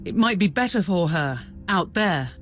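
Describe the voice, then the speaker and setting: An actress playing a dutiful, frank starship captain, measured and formal in a video game cutscene. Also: female voice, lowish voice, animated, lightly acted. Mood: sober, pensive. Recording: music behind the voice.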